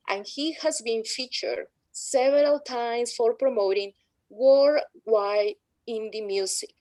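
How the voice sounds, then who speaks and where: A woman's voice: medium pitch, darkish tone, no room sound, low-quality recording. A woman moderating a panel, reading prepared introductions of the guests with occasional slips.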